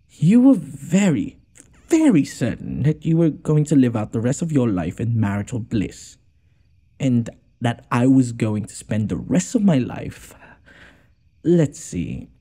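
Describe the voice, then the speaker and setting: A man's voice: medium pitch, mellow, breathy edge, heavily acted. A man playing a haughty, wounded noble lord in an audio roleplay, with a theatrical, sarcastic and exasperated delivery. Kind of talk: scripted audio roleplay addressed to the listener.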